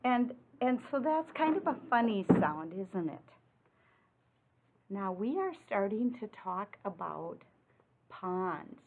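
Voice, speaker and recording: A woman's voice: medium pitch, lively intonation, a little hiss or hum. Female read-aloud teacher for little kids, simple questioning delivery, emphatic on rhymes, thin phone-quality audio. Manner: simple child-directed talk with frequent questions; deliberate, emphatic delivery of rhyming words. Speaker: teacher reading aloud to young children. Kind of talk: picture-book read-aloud with explanations and questions. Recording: muffled, phone-like narrow-band sound.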